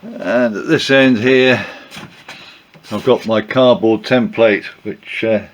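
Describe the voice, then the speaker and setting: An older man with a croaky voice from a cold, narrating his build in a home workshop with many pauses and fillers. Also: lowish voice. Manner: relaxed, hesitant with many fillers. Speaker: aircraft builder.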